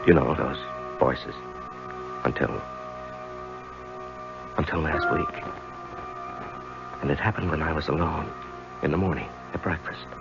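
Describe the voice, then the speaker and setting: A haunted, ambitious lawyer in middle age, halting and brooding. His voice is extremely low with a creaky edge. It is an old radio drama with dulled sound and music under it. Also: full character voice. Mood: brooding, uneasy. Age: middle-aged. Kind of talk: 1970s radio drama acting and narration. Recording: dull old radio recording, music behind.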